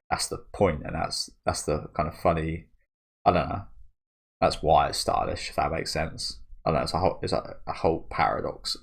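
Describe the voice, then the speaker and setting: A man's voice: rumbling low, gravelly. A man hosting a manga reaction video. He thinks aloud in a rambling, casual way, with many restarts and tag questions. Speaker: manga reaction channel host.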